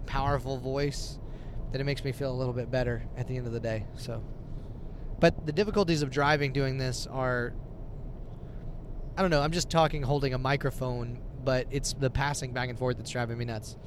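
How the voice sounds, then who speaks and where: A man's voice: medium pitch, fairly even intonation, moderate pace. A man guesting on a podcast, earnest and talkative, holding a handheld mic in a moving car. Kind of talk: casual chat. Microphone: handheld mic held backwards. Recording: clear road noise from a moving car.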